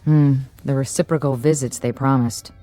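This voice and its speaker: A quiet, uneasy assistant with a low, mellow voice. She speaks thoughtfully, with soft music faintly behind her in an audio drama. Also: steady tone, normal speed. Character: uneasy female assistant code breaker. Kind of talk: radio drama acting.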